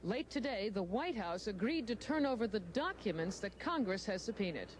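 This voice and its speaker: A woman's voice: mid-range voice, big pitch swings, normal speed. A woman delivering a scripted television news report as a correspondent, on a slightly noisy recording.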